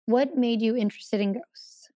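A woman's voice: a little high, steady tone, normal speed, dark tone, no echo, close to an everyday voice. A woman playing a skeptical, probing friend in an audio drama, dry and blunt in a natural conversational style. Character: skeptical, probing woman questioning a would-be ghost hunter.

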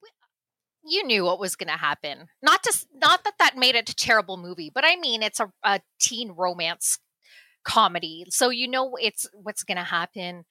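A woman's voice: mid-range voice, sing-song, moderate pace, sharp tone, dry recording. A woman and mother chatting casually about a teen movie, with frequent restarts and laughter. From United States. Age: adult parent. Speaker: movie review guest.

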